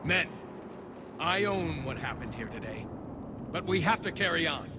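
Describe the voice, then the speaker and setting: Burdened alien lieutenant, noisy battlefield. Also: male voice, a little high, animated, unhurried. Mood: rallying, resolute. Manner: formal, earnest, military. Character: burdened alien soldier lieutenant, dutiful and remorseful. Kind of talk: dramatic video game acting. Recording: noisy battlefield ambience behind voice.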